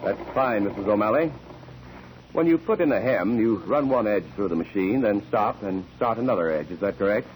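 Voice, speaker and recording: An American actor playing a sharp, insistent courtroom investigator in a 1940s radio drama, formal and articulate. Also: male voice, lowish voice, expressive, normal speed, close to an everyday voice. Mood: firm, probing. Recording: noisy 1940s radio recording.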